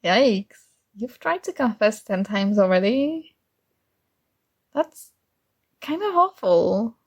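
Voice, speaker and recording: A woman's voice: medium pitch, big pitch swings, takes it slowly, dull audio, full character voice. A woman playing a kind, earnest and oblivious female friend in an intimate ASMR roleplay, speaking casually and a little hesitantly. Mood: casual, encouraging. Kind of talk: ASMR roleplay, intimate one-sided dialogue.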